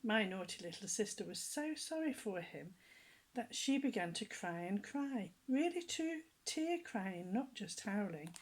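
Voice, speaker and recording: An older woman reading a children's story aloud with gentle, lively storytelling, often dropping to soft whispers and asking her young listeners questions. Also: mid-range voice, measured pace, poor audio.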